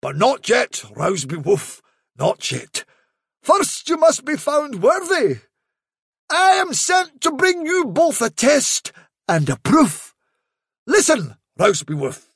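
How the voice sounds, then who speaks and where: A man voicing a wily trickster who poses as a grand fairy dog messenger in a storybook, full of drawn-out, theatrical flattery. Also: very high voice, creaky, breathy edge, no echo, studio quality, voice pushed up, heavily acted. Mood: regal, flattering. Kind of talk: dramatic storybook reading.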